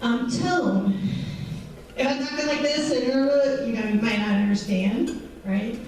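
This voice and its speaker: Woman in her 40s, counselor, high voice, conversational presenter, recorded from afar in a very reverberant hall with faint background hiss. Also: measured pace, a little mellow. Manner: conversational, frequent um and right. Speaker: mental health counselor. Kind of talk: presentation to a patient group. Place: large echoey event room. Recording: echoey hall, distant mic, faint noise.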